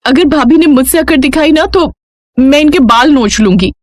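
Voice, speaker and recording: A woman voicing a suspicious, scheming young sister-in-law in a storybook tale, excitable and nagging. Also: high voice, normal speed, no echo, clean studio sound, voice pushed up. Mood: threatening. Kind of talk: Hindi moral storybook character voice.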